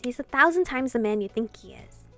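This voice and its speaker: A woman streamer reading game dialogue aloud and reacting with casual, expressive commentary. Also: on the high side, a little fast. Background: game music.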